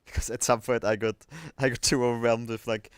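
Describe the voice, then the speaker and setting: A man hosting a casual livestream chat, his voice smooth and clean at an average pitch. The sound is close and dry, with no room in it. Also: level tone, a little fast, no echo. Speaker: livestream host and video editor.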